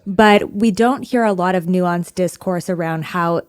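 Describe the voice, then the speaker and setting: A woman's voice: fairly low pitch, measured pace. A woman hosting a podcast interview, framing thoughtful questions and recapping for listeners. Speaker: podcast host.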